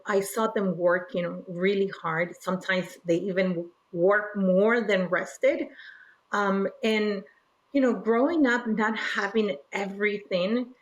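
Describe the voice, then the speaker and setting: A woman's voice: medium pitch, poor audio. A woman from Peru, an interview guest telling her personal story in a reflective, earnest way. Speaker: interview guest, regional director.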